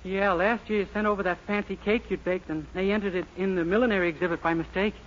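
Man playing a high-voiced naive young lodger. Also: fairly even intonation, fast, lightly acted. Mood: chirpy, innocent. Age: young adult. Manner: earnest, innocent, sheepish. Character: naive, boyish, hapless young male lodger. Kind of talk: 1940s radio sitcom acting. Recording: muffled, very noisy old radio recording.

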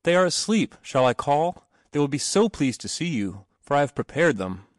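An excitable young boy from a storybook , acted by a male narrator. The voice is pushed high and swings widely, earnest and full of exclamation, in a dry recording. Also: moderate pace, dry recording. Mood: eager.

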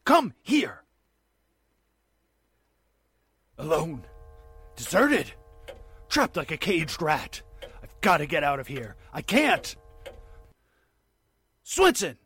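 An actor playing a nervous, hunted man who fears murder, talking to himself in halting, panicky bursts in a radio drama. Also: a little high, wildly swooping pitch, fairly bright tone, breathy edge, soft background music, heavily acted. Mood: panicked. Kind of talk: 1940s radio drama acting.

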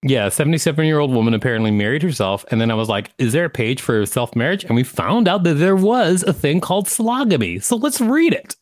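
A man's voice: fairly high pitch, big pitch swings, quick pace, few pauses, no echo, clean studio sound. A man hosting a podcast, reading aloud and chatting casually. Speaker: podcast host. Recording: music playing behind the voice.

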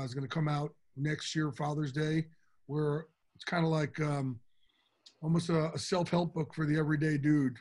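A middle-aged man with a big, enthusiastic voice, pitched a bit higher than most men. He answers interview questions in a candid, from-the-heart way. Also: a little mellow. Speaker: interview guest. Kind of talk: casual interview talk.

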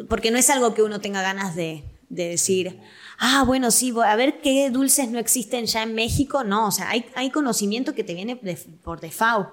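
A young woman with an Argentine accent, a comedic actress telling stories animatedly as a podcast guest and acting out quoted dialogue. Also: medium pitch. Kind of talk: casual interview chat.

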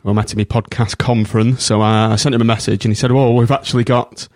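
A man's voice: lowish voice, little pitch movement, fast, darkish tone, no room sound, clean studio sound. A man hosting a casual podcast chat, friendly and rambling with many restarts. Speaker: podcast host.

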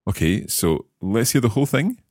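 A man hosting a language lesson, explaining words step by step and repeating phrases slowly. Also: lowish voice, very animated, darkish tone, dry recording, clean studio sound. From Scotland. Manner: friendly, explains step by step, slow careful repetition of words.

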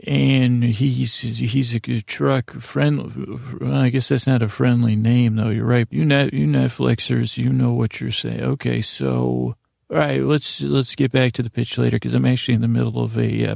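Male podcast monologist, very gravelly, close dry sound, improvised and meandering, keeps interrupting himself. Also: medium pitch, level tone, darkish tone, no room sound. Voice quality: gravelly, creaky. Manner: meandering, halting, self-interrupting. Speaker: podcast host.

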